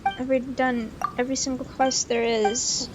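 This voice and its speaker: High-voiced young woman streaming a game. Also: little pitch movement, normal speed, very noisy. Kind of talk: casual gaming livestream chat. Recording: loud game music behind voice. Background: loud video game music.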